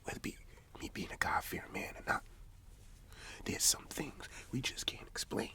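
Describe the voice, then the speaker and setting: A man playing a troubled, God-fearing farmer, telling his story slowly in a breathy ASMR whisper and repeating phrases in a folksy way. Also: sharp tone, natural voice. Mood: uneasy, hushed. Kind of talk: whispered ASMR roleplay monologue.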